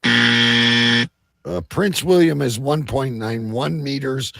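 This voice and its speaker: A man hosting a bantering comedy quiz, halting as he sets up questions, then reading them out emphatically. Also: medium pitch, creaky, background noise. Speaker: quiz host.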